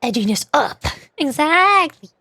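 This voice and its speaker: High-voiced excitable woman streamer. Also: unhurried, no echo. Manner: excitable, chattering, playful exclamations. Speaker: livestreamer, VTuber. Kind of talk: casual gameplay stream chat.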